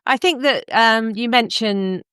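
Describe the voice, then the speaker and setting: A woman's voice: fairly high pitch, measured pace, pushed and bright, clear, resonant tone, no echo, studio quality. A woman hosting a conversational book interview in an articulate, discursive way. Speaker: podcast host and interviewer.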